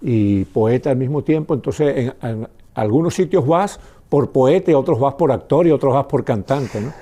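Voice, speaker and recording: A male television interviewer with a very dark, mellow voice at a middle pitch. He talks in an easy, curious way and often adds tag questions to draw his guest out. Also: expressive, moderate pace. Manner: inquisitive, conversational, prompting with tag questions.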